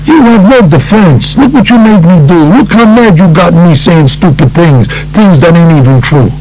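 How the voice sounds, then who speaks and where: A man's voice: high voice, expressive, normal speed, darkish tone, slight background noise, pitched above the performer's own voice, rough recording. A man voicing a self-important, blaming mafia boss in an audiobook, with an insistent, grandiose manner. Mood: accusing.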